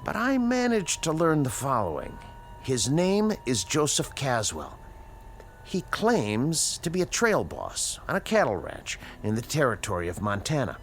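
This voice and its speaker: An actor playing an educated, uneasy scientist in a radio drama, with precise, formal diction and dramatic pauses. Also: male voice, medium pitch, huge pitch swings, a little hiss or hum. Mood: clinical, measured. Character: educated, uneasy male scientist, inventor of a time machine. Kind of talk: radio drama acting, dialogue and dictated log narration.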